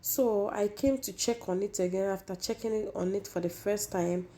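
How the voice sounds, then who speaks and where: Woman, hoarse voice, mellow dark tone, chatty cooking host. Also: mid-range voice, steady tone, moderate pace, slight background noise. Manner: chatty, repeats herself, addresses viewers as guys. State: hoarse, losing her voice. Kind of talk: casual cooking tutorial.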